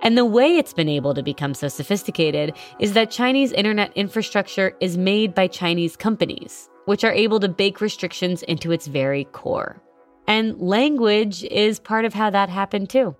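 A woman host narrating a scripted story and interviewing a guest, with a measured explanatory delivery. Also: medium pitch, animated, faint music behind.

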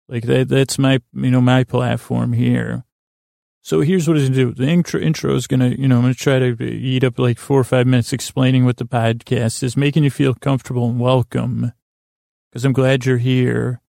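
A man hosting a podcast in a meandering, halting monologue, often repeating words and restarting sentences, with a self-deprecating manner. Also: mid-range voice, flat delivery, gravelly, warm tone, dry recording, studio quality. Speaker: podcast host. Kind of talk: rambling monologue introducing a sleep podcast.

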